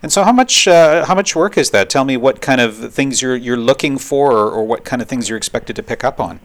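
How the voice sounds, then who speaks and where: A man's voice: medium pitch, brisk. A man interviewing a guest in a curious manner, often restarting his questions. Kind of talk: radio interview.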